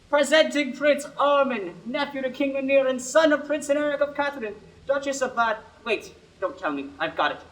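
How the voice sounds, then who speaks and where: Student voicing a nervous page boy, very high bright voice, hesitant, radio play. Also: animated, normal speed, low-quality recording. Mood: flustered. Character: timid, dim young page boy.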